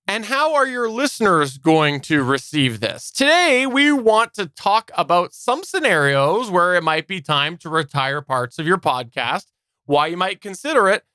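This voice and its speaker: A man's voice: high voice, sharp tone, clear, resonant tone, dry recording, clean studio sound. A man hosting a podcast, presenting to listeners in an organized way. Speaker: podcast host.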